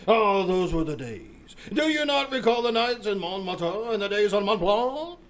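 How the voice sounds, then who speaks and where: A man's voice: high-pitched, animated, moderate pace. An actor playing a flamboyant, caped Frenchman, effusive and florid, in an old-time radio play. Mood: gushing, mock-offended. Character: flamboyant caped Frenchman, a detective's overplayed disguise.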